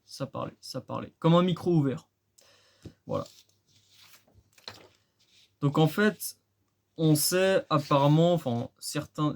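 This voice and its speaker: Guy with a soft mellow voice doing a chatty explainer, asks questions then answers, repeats himself to make a point. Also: on the high side. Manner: conversational, often repeats phrases for emphasis. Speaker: presenter of a radio-hobby video. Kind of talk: casual explainer narration with rhetorical questions.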